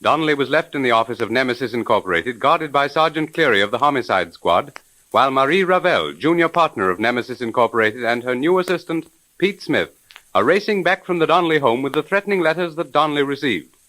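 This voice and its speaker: A man's voice: medium pitch, lively intonation, fast, pushed and bright, rough recording. A man narrating as the dramatic announcer of a 1930s radio mystery serial. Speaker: announcer narrating the story so far.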